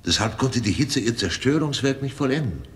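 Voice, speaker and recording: An actor playing a brave, resolute Russian courier of the Tsar in a German radio play, solemn and declamatory. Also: male voice, medium pitch, expressive, fairly quick, a bit bright, lightly acted. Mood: solemn, composed.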